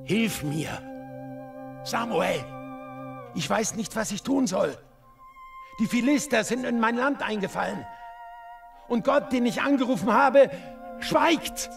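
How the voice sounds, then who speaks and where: A man's voice: mid-range voice, lively intonation, normal speed, gravelly, a bit bright, big-room sound, faint music behind. A man playing a troubled, commanding king in a dramatic radio play, imperious and urgent. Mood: desperate.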